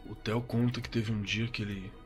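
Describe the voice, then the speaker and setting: An actor playing a shaken Brazilian man giving first-person horror testimony in an audio drama, halting, repeating words and swearing colloquially. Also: on the low side, level tone, close to an everyday voice. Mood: uneasy, haunted. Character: shaken man recounting a terrifying camping encounter. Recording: suspense music under the voice.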